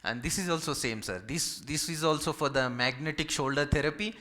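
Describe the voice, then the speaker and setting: A man's voice: fairly high pitch, a little fast, sharp tone. A man from Hyderabad with a Telugu Indian accent, a native Telugu speaker, giving an informal medical talk as a physiotherapist, polite, repetitive and emphatic when listing. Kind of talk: informal medical presentation with audience questions.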